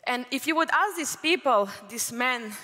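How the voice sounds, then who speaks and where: Woman, from Croatia, conference keynote, clear bright tone, stage mic, reverberant hall. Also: high-pitched, lively intonation, moderate pace. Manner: fluent, explanatory, with occasional fillers. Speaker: conference speaker.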